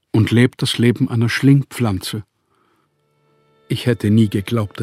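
Melancholy withdrawn writer, very slow, dark mellow voice, intimate radio play monologue. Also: male voice, mid-range voice, slightly rough, no echo, studio quality. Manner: sombre, inward. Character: melancholy, withdrawn male writer, unhappily married. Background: music.

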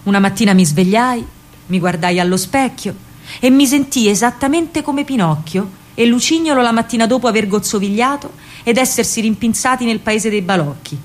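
A woman playing a disillusioned, fatherly older Roman man in a radio monologue, speaking gravely and deliberately in a hushed way with a Roman accent. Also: a little high, close to an everyday voice.

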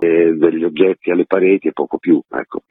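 Low husky man on a phone line. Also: steady tone, brisk, no room sound. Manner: reflective, wordy, with frequent qualifiers. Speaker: stage actor, interview guest. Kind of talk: explanatory radio interview answers. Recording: tinny phone line into radio.

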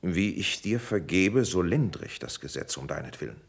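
A fair, lenient prince speaks verse in a classical radio play. His voice is deep, and his phrasing is formal, deliberate and full of authority. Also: very animated, creaky, breathy edge, exaggerated voice. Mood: grave, fair. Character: measured, lenient prince passing judgment.

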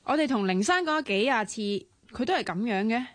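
A brash, loud, mocking young woman. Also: on the high side, animated, a little fast, no room sound. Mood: weary. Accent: Hong Kong Cantonese. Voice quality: loud, brusque, teasing. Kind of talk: broadcast radio drama acting.

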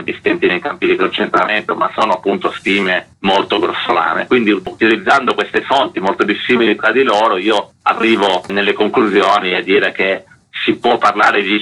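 A man, an author being interviewed on the radio, explaining in a measured, articulate way. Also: low-pitched, pushed and bright, low-quality recording. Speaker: history author, interview guest.

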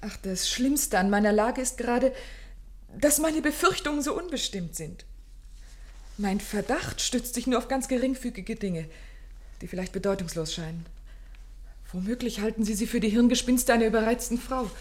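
A woman's voice: fairly high pitch, moderate pace, natural voice. An actress playing a distressed, genteel young woman in a radio play, speaking formally and dramatically. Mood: anxious. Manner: formal, dramatic, pleading phrasing.

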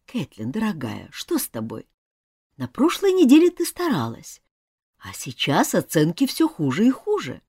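A woman voicing a kindly schoolteacher who speaks softly and coaxingly to an upset pupil. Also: lowish voice, wildly swooping pitch, normal speed, husky, dry recording, clean studio sound, exaggerated voice. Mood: gently concerned. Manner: soft, coaxing. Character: kindly female schoolteacher, gentle and concerned.

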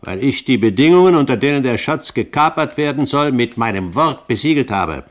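A man plays a shrewd gentleman pirate in a 1970s radio drama. He speaks at a middling pitch, lofty and precise, with cool command and open condescension. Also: lively intonation, a little fast, lightly acted. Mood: measured, assured. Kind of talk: German radio play acting, 1970s.

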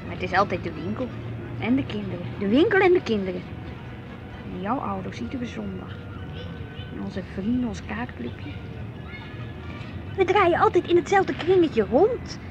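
A high, very animated woman's voice. She is a married housewife, brooding and plaintive about her humdrum life. Music plays clearly behind her. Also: normal speed, long pauses, lo-fi sound. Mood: discontented. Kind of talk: radio play acting.

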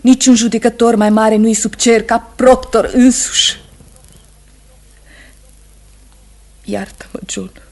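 A woman's voice: on the high side, fairly even intonation, darkish tone. An actress playing a sorrowful, steadfast wife of a condemned man, solemn and intense in radio theatre style. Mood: pleading. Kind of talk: Romanian radio theatre acting.

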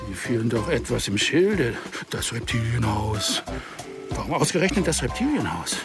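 A man's voice: mid-range voice, very animated, moderate pace, over loud music. An actor playing an agitated, distrustful businessman doing a shady deal in a radio crime drama. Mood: suspicious, agitated. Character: jittery, suspicious male businessman, drug buyer.

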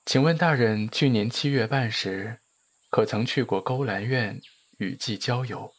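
A man playing a calm, authoritative Taoist priest of ancient China in a period audio drama, with composed, formal speech. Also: mid-range voice, measured pace, natural voice. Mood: grave, instructing.

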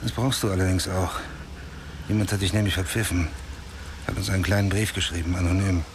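A low-voiced, cynical male detective in a radio crime drama. He is dry and sardonic, with a creaky edge and faint noise around him. He carries himself as a self-assured policeman who has seen it all. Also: steady tone, fairly quick.

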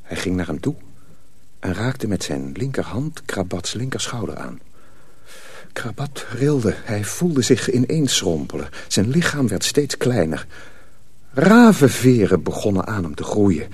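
Husky, expressive male storyteller, very dry sound. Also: fairly low pitch, unhurried, no echo. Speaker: narrator. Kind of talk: dramatic scripted story narration.

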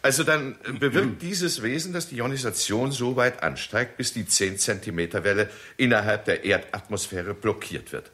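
Man playing a bright-voiced, wry, probing scientist. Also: mid-range voice, moderate pace, few pauses, natural voice. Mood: wry, intrigued. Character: wry, probing male scientist thinking aloud. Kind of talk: 1960s German radio play acting.

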